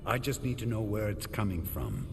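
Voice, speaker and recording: A man playing a stern, battle-hardened knight hero in a fantasy game cutscene, speaking in gruff, terse lines. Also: on the low side, huge pitch swings, moderate pace, noisy background, heavily acted. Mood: commanding. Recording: long reverb, music behind.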